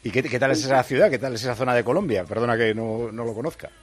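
A man hosting a live radio show, teasing and joking as he asks quick questions. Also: medium pitch, no echo, soft background music. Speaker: radio host.

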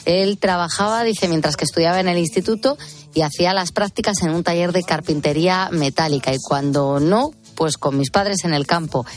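Lowish-voiced Spanish woman on radio. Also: normal speed, faint music behind. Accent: Spanish, from Spain. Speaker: radio co-host. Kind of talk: reading listener messages on air.

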